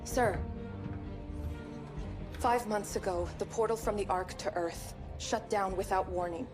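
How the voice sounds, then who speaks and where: Woman acting a slow, grave female AI. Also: medium pitch, natural voice. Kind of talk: video game cutscene acting. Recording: game clip with music behind.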